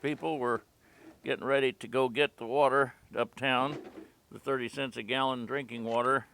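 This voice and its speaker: A man's voice: medium pitch, fairly even intonation, moderate pace, fairly bright tone, slight background noise. A man talking in a conversational interview as a local resident, plain-spoken and folksy.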